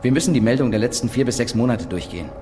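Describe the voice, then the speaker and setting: An actor playing an earnest, uneasy newspaper reporter in a German radio drama, talking in a halting, intense way. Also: male voice, medium pitch, lively intonation, mellow, lightly acted. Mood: urgent. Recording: background noise and ambience.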